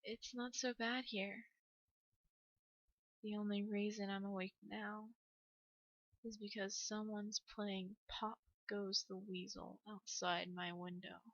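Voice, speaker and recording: A woman's voice: mid-range voice, little pitch movement, takes it slowly, a bit bright, dry recording, highs cut off. A woman voicing a distraught, haunted parent telling a horror tale in a dramatic, mournful reading. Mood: haunted, subdued.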